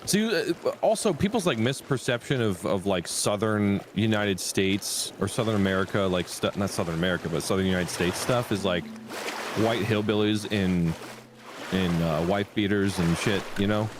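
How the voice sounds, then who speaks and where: A man's voice: fairly low pitch, normal speed, background noise. A man chatting casually with a friend during a game stream, laid-back and swearing offhandedly. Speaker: co-op gaming guest. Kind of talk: casual chat while gaming.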